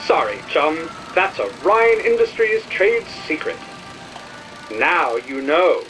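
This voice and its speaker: Old timey promo film announcer, super peppy and folksy, big up-and-down delivery, music behind. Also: male voice, fairly high pitch, sing-song, slow pace, low-quality recording. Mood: peppy salesmanship. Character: chirpy retro newsreel announcer for an underwater city. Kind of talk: 1950s promotional film narration.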